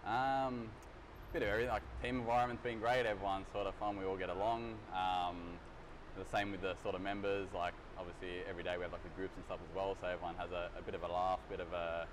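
A young man with a deep, low voice talks quickly and loosely, full of ums and restarts as he answers questions. He sits in a big gym studio, picked up from across the room, with a clear noisy hiss underneath. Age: 20s. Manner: relaxed, rambling with many fillers and restarts. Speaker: exercise physiologist. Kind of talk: casual interview answers. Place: large gym studio, indoors.

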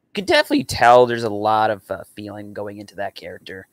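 A man's voice: fairly low pitch, huge pitch swings, fast talker, dry recording. A young man chatting casually and earnestly in a rambling reaction at home. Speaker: reactor and amateur voice actor. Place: small room at home.

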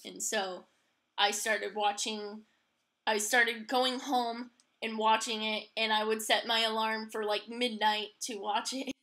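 A young woman with a bright, slightly high voice hosts a casual chat. She takes her time, speaking informally and a little haltingly, piecing her thoughts together as she goes. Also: steady tone. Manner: informal, halting, uses "like" and "kinda". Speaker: podcast host.

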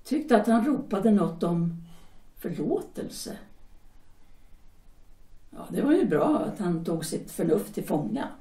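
A woman's voice: lowish voice, big pitch swings, long pauses, warm tone, reverberant, far from the mic. An actress playing a young mother who has just settled her baby, weary and plain-spoken. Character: young mother Emma, tired and protective. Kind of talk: amateur audio drama acting.

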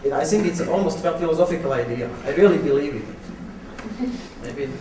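Halting Slovak-accented man, far from the mic. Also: on the high side, warm tone. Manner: halting, repeats words, searching. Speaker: writer, panel guest. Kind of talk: unscripted panel answers. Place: public event room, recorded at a distance. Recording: distant echoey hall, clearly noisy.

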